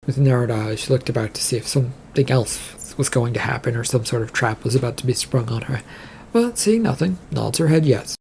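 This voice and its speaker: A narrator with a husky, creaky voice, reading serial fiction aloud. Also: mid-range voice, animated, fairly quick, a little mellow, slight background noise.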